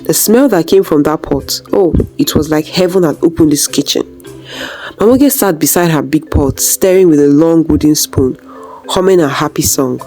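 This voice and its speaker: A woman's voice: low-pitched, moderate pace, dark tone, soft background music. A woman narrating a scripted folktale as a storyteller.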